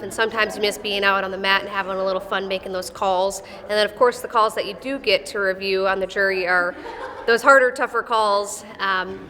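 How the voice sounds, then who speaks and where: A woman's voice: medium pitch, pushed and bright. A woman in her thirties from Wisconsin with a Midwestern accent and a slight Texas drawl, a wrestling official answering interview questions in a friendly, matter-of-fact way. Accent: Midwestern American with a slight Texas drawl. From Wisconsin, USA. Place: wrestling tournament arena. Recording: echoey arena with crowd noise.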